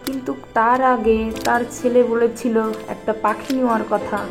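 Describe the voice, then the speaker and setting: A woman narrates a cartoon fairy tale in a fairly high voice. Her delivery is scripted storybook narration, set over clearly audible music. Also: little pitch movement, normal speed.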